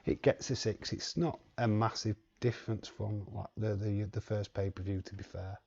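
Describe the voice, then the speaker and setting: A man's voice: fairly low pitch, fairly even intonation, moderate pace, vocal fry, darkish tone, husky, lo-fi sound. A man hosting a live watch-along stream, chatting casually and reading the chat aloud in a rambling way. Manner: rambling, reads chat aloud, chuckles often.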